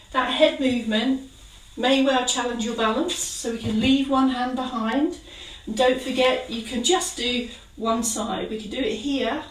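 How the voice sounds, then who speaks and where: Calm woman leading exercises. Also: a little high, recorded from a distance. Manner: calm, encouraging step-by-step cues. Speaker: exercise instructor. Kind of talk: guided exercise class. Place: kitchen. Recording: roomy sound from away from the mic.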